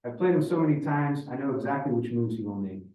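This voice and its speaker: A man's voice: mid-range voice, lively intonation, fast, warm tone, lightly acted. A man voicing a teasing older Russian father who speaks in folksy maxims, in a story reading. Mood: dismissive, teasing. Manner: pronouncing, folksy maxims in non-native phrasing. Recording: echoey room, distant muffled mic.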